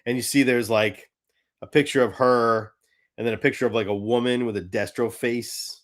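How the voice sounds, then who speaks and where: A man's voice: mid-range voice, steady tone, moderate pace. A man hosting a live fan show, chatting casually about cartoons in a blunt, irreverent way with some swearing.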